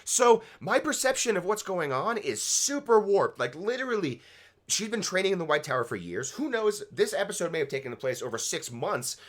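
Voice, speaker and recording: A man's voice: high-pitched, sing-song, normal speed, a bit bright. Blunt man giving casual show critique. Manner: blunt, complaining, salty language. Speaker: co-host of a TV review show. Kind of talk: casual reaction discussion.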